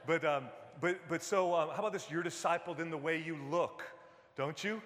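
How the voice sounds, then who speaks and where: A man's voice: a little high, unhurried, a bit bright. Pastor Man preaching in a reverberant church. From Detroit, US Midwest. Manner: conversational preaching, many fillers and restarts, jokes with the congregation. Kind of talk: sermon. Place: church sanctuary. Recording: long reverb of a large hall.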